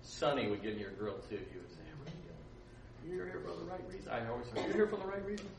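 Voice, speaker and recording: A man preaching to a camp group, telling the story with acted-out voices and chatty asides. He sits back from the microphone in a roomy, echoing space, with steady noise behind him. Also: mid-range voice, racing, creaky. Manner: acts out voices, laughs often, chatty asides. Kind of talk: animated storytelling sermon. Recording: roomy echo, distant mic, background noise.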